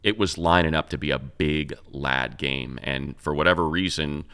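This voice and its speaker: A man's voice: extremely low pitch, normal speed, vocal fry, pushed and bright, clear, resonant tone. A man co-hosting a sports talk show, giving casual, conversational football analysis and thinking aloud as he goes.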